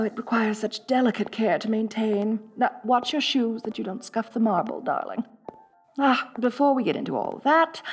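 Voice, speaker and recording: A woman's voice: mid-range voice, a little mellow, breathy edge. A woman playing a rich, bossy lamia mistress in a roleplay audio drama, haughty and condescending with affected elegance. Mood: haughty, self-satisfied. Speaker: wealthy mistress addressing a new servant.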